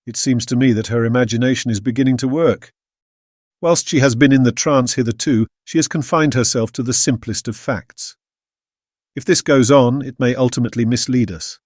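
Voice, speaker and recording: A man with a British accent playing an earnest Victorian gentleman, narrating his diary in a measured, solemn way. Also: medium pitch, fairly even intonation, no room sound, studio quality, natural voice. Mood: solemn, anxious. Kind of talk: scripted dramatic audiobook narration.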